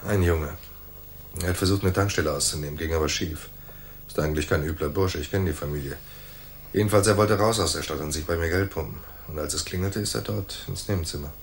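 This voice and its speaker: An actor playing a wry, tough private detective in a hard-boiled radio play, husky and laconic, in dialogue and narration. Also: male voice, sits low, fast talker, slight background noise. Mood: cool, persuasive. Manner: dry, understated.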